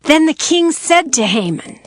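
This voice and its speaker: A woman's voice: high-pitched, very animated, unhurried. A woman giving scripted narration of scripture as the narrator of an audio drama. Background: music.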